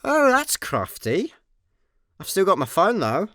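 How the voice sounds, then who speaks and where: Man doing wry slacker in a sci-fi radio comedy, droll, unimpressed, really animated pitch. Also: measured pace, dry recording, studio quality, full character voice. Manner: droll, unimpressed, mock-dramatic. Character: laid-back, wry male space layabout on the run. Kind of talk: comic sci-fi radio play acting.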